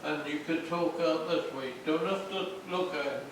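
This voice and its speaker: A man's voice: fairly high pitch, moderate pace. A man directing a play rehearsal, giving plain, instructive blocking notes and repeating himself. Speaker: stage director. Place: echoey indoor rehearsal space, recorded from afar. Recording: very echoey room, distant microphone, noisy.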